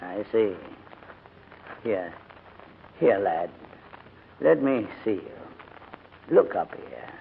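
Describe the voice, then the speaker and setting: An actor playing a crusty but kindly older gentleman in a formal, hesitant manner, in 1940s radio drama style. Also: on the low side, sing-song, extremely slow, vocal fry, long pauses, a little hiss or hum, exaggerated voice. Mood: gentle, subdued. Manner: fussy, grumbling, formal, hesitant. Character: crusty, reluctant but kindly old English gentleman guardian. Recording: muffled vintage 1940s radio recording, music.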